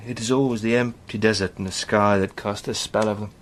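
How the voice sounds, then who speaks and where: An actor playing an earnest, impassioned British gentleman spy with a literary turn of speech in a radio drama. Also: lowish voice, steady tone, fast talker, a little hiss or hum, natural voice. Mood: intense, awed. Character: Sandy, fervent eloquent British gentleman spy.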